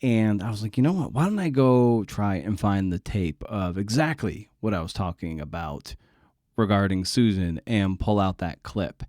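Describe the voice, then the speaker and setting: A man hosting a podcast in casual conversation, halting and rambling as he circles toward his point. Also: lowish voice, lively intonation, darkish tone, dry recording, studio quality. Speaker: podcast host. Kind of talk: casual interview chat.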